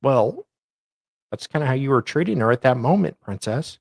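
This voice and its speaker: A man's voice: mid-range voice, big pitch swings, normal speed, gravelly, a little mellow, dry recording, studio quality. A man co-hosting a show, reading legal filings dramatically with sardonic, mock-theatrical asides. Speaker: co-host doing a dramatic reading.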